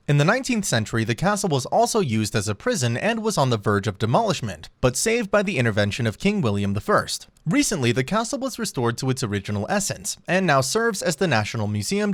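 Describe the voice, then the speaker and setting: A man's voice: mid-range voice, dry recording, clean studio sound. A man reading scripted narration for a travel countdown video in a polished, promotional manner.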